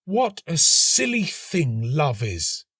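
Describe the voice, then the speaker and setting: A man voicing a lovestruck, excitable young student in a storybook, exclamatory and earnest. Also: fairly high pitch, takes it slowly, breathy edge, no room sound, studio quality, voice pushed up, heavily acted. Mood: scornful.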